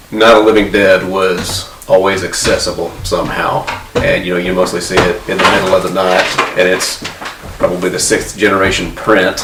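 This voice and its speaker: A man in his forties, a podcast co-host, chatting at a table with others in a measured, reflective way. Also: sits low, distant mic. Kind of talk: casual roundtable chat. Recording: echoey room, away from the mic, faint noise.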